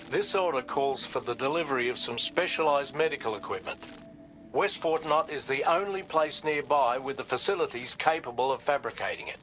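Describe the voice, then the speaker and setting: A man's voice: medium pitch, close to an everyday voice, rough recording. An actor playing a grave, reflective man who carries old grief, speaking formally and precisely in a cinematic video game. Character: grave, reflective seafaring man grieving his lost son. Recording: loud music under the voice.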